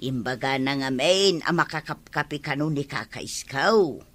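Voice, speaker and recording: A woman's voice: extremely low pitch, animated, unhurried, no room sound, exaggerated voice. A woman playing a gullible, defensive village neighbour in an Ilocano radio comedy drama, with an Ilocano accent. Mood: worried, defensive.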